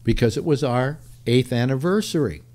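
An older man hosting a casual video-call chat, rambling with halting restarts and self-mocking jokes. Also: medium pitch, lively intonation, a little mellow. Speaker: host. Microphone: webcam on a video call.

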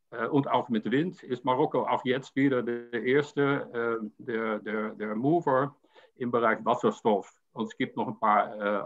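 Halting older man on a video call. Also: medium pitch, fairly bright tone. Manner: halting, many fillers and repeated words. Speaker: panel guest. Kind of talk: panel discussion over video call. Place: home room with painting. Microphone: video call webcam. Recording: dull lo-fi call audio.

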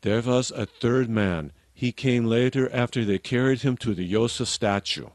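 An actor playing an anxious, evasive Viennese porter in a radio drama. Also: male voice, mid-range voice, moderate pace, darkish tone, dry recording, studio quality, close to an everyday voice. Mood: guarded. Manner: nervous, evasive, protesting. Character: anxious Viennese porter, reluctant male witness.

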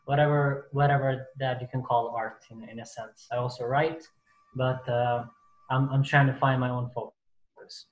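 A man's voice: mid-range voice, little pitch movement, moderate pace, highs cut off. A man from Kosovo introducing himself hesitantly as an artist on a video call. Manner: hesitant, casual. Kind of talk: casual self-introduction. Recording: echoey room on a video call.

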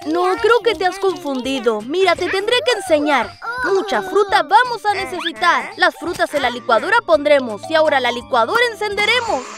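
A very high bouncy lion cub. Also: female voice, big pitch swings, normal speed. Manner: bouncy, sing-song rhymes. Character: cheerful young cartoon lion cub. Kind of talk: rhyming cartoon narration. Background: children's music.

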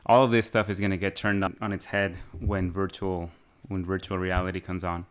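A man raised in Mexico co-hosts a relaxed podcast, his voice sitting very low. He rambles and muses as he talks, often breaking into laughter. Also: brisk. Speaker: podcast co-host. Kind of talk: casual chat.

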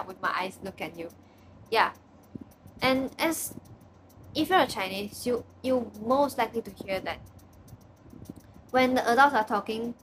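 A woman's voice: medium pitch, noisy background. A nineteen-year-old woman from Malaysia with a Malaysian English accent, talking casually about herself in her bedroom, often restarting her sentences. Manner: chatty, frequent restarts and repeats. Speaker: student. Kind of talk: casual personal video report. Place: small bedroom, indoors. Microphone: webcam at desk distance.

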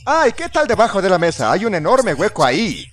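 A man's voice: sits high, wildly swooping pitch, fairly quick, a bit bright. A man narrating a scripted comedy parody in a sarcastic, exaggerated, exclamatory manner. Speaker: fandub narrator. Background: music.

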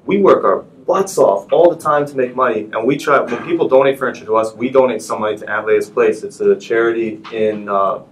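A man's voice: medium pitch, fairly quick, few pauses, slight background noise, rough recording. A young man, a guest in a studio interview, speaking thoughtfully with hesitant restarts. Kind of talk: casual interview chat. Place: small TV studio.

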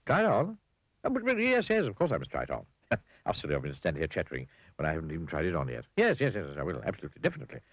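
A man's voice: low-pitched, huge pitch swings, racing, creaky, breathy edge, dry recording, dull audio, exaggerated voice. A man voicing Mister Chatterbox, a fussy little man who rambles on breathlessly and can't stop talking. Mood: flustered.

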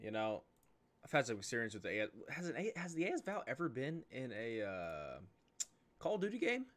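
Bright-voiced young American gaming host. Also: male voice, mid-range voice, wildly swooping pitch, fairly quick. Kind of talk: casual reaction commentary. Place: indoors at a desk, computer setup.

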